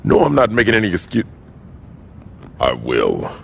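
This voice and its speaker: A proud, authoritative gang boss from a video game, with a deep voice and animated intonation. He carries controlled menace, over slightly noisy audio. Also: normal speed, creaky, heavily acted. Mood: controlled, businesslike. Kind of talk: video game cutscene acting.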